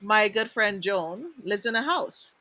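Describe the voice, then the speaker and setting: Bright-voiced Trinidadian woman host. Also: medium pitch, lively intonation, measured pace. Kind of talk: casual interview talk.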